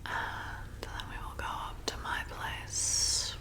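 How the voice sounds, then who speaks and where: A woman playing a flirty, hypnotic vampire bartender in an ASMR roleplay, soothing and coaxing as she repeats herself. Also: bright, forceful tone. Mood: coaxing, praising. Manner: soothing, coaxing, slow and repetitive.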